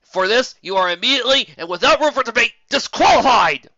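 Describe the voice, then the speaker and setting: Man doing a loud, stern griffin referee. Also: lively intonation, brisk, dry recording, highs cut off, heavily acted. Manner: booming, declamatory announcing.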